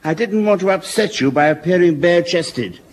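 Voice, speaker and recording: An actor playing a gentle, doddery elderly soldier in a radio sitcom. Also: male voice, sits high, lively intonation, moderate pace. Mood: fussy.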